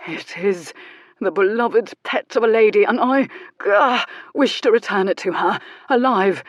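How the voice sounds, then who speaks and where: A woman's voice: medium pitch, moderate pace, no room sound, clean studio sound, lightly acted. A woman doing a pained, formal aristocrat. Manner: strained, clipped, formal. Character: pained, indignant young aristocrat man.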